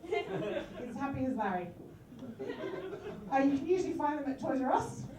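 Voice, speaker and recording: A woman's voice: sits high, sing-song, normal speed, very noisy. A woman playing a cheerful female tooth fairy for animals, improvising in a rambling way full of fillers at a live comedy show. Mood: playful. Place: live venue with audience. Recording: echoey room, far mic, loud crowd.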